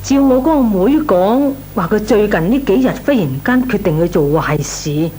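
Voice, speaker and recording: An actress playing a worried, plaintive middle-aged aunt with a Cantonese accent in an old Hong Kong radio drama. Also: female voice, medium pitch, animated, moderate pace, mellow, lightly acted, rough recording. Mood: explaining. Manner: plaintive, sighing, exclamatory. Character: fretful married woman, worried pleading aunt. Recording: old radio recording, faint noise.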